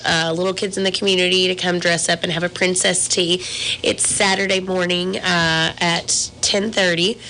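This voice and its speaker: Woman organizer, bright, flat low voice, practical event rundown. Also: gravelly, a little hiss or hum, rough recording. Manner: practical, informative. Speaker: theater organizer. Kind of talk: casual announcement.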